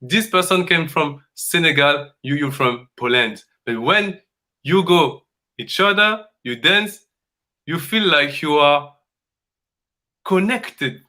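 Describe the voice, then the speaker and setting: Man, dance coach, earnest and repetitive, slightly high, forceful voice. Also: animated. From Congo. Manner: earnest and emphatic, repeats phrases, halting non-native English. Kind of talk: conversational coaching talk.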